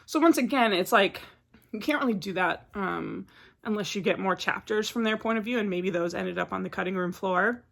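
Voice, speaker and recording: A woman's voice: medium pitch, moderate pace, vocal fry, fairly bright tone. Chatty woman vlogger getting over a cold. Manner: chatty, rambling, many filler "like"s. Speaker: book vlogger. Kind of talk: casual book review vlog.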